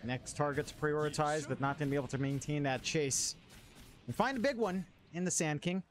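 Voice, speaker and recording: A man's voice: a little high, a little fast, soft background music. A man working as an esports caster, mixing live game commentary with casual chat. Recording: clearly noisy stream audio.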